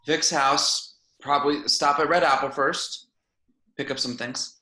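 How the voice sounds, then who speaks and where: A man's voice: fairly high pitch, steady tone, measured pace, bright, forceful tone. A man playing a swaggering, hot-tempered, foul-mouthed teenage bully in an amateur script read, aggressive and sarcastic. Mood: guarded. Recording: echoey room.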